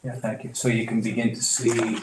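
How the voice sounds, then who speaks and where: A developer presenting to a public board meeting, halting with many fillers and restarts, clearing his throat as he loses his voice. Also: medium pitch, flat delivery. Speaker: property developer. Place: meeting room. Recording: echoey room, faint noise.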